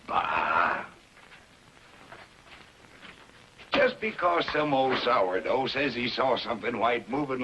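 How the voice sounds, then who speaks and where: Crusty old trading post keeper, bright forceful voice, swooping pitch, exclamatory frontier talk, 1950s TV western, faint noise. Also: male voice. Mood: scornful. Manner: folksy frontier slang, exclamatory. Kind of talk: 1950s television western acting. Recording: noisy vintage 1950s television soundtrack.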